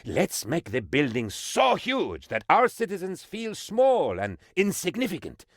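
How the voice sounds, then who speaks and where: A man voicing an excitable, pompous and dim-witted sycophantic aide to a dictator in a video game, with theatrical, naively enthusiastic delivery. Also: on the high side, unhurried, no echo, clean studio sound, full character voice. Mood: earnest, naive. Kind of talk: video game character voice lines.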